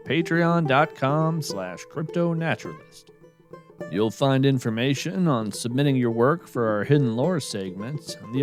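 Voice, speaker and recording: A man hosting a podcast with scripted narration in a measured, deadpan manner. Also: mid-range voice. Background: plucked string music.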